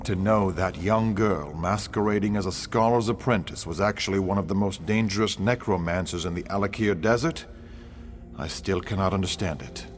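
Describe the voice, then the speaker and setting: Troubled male game character, acted by a man: low-pitched, grave, formal, noticeable ambience. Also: moderate pace, natural voice. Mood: troubled, disbelieving. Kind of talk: scripted game dialogue. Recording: clear ambient noise behind.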